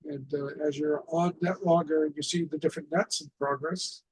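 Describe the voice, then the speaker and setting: A man with a somewhat high, husky voice gives an informal talk as the host of an amateur radio net. His intonation stays quite flat, and he explains haltingly, often repeating himself as he goes. The sound is close and dry. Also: no room sound, poor audio. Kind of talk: informal instructional presentation over a radio net.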